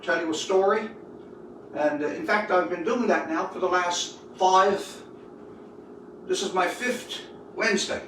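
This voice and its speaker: A man's voice: high-pitched, a bit bright. A man of about seventy from Newfoundland, a writer talking and telling stories to viewers on an informal livestream. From Newfoundland, Canada. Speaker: writer reading his work to viewers. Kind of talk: informal livestream talk and storytelling. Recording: distant mic, roomy echo, audible noise.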